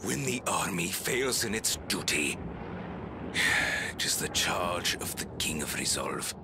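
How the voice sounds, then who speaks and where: Very deep, menacing tyrant king. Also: sing-song, background noise, full character voice. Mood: grim resolve. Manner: menacing, slow and disdainful. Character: ruthless, imperious tyrant king. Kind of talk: video game cutscene acting. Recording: music under the voice.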